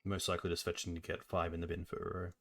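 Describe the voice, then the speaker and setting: A man with a notably low, deep voice talks through a card game. He is calm and analytical, walking through each play step by step in casual conversation. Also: rapid-fire. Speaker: streamer host. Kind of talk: casual gameplay chat.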